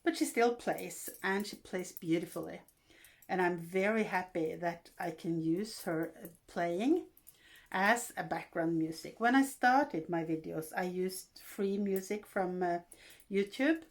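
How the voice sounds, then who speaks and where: Slow-talking Norwegian woman vlogger. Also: fairly low pitch, animated. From Norway. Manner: unhurried, halting, with frequent fillers and restarts. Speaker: vlog host. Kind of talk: casual vlog talk.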